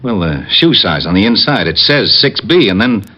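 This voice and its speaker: An actor playing a harried, exasperated police lieutenant in a vintage radio crime drama, with curt, weary cop talk. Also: male voice, lowish voice, sing-song, moderate pace. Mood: puzzled, brisk. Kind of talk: 1940s-50s radio crime drama acting. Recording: muffled, hissy old radio recording.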